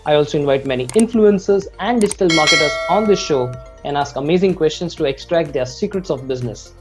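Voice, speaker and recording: A man's voice: a little high, fast. A man hosting an interview show, reading formal, scripted introductions and then asking his guest questions. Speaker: host, video creation coach. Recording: dull lo-fi audio with music bed.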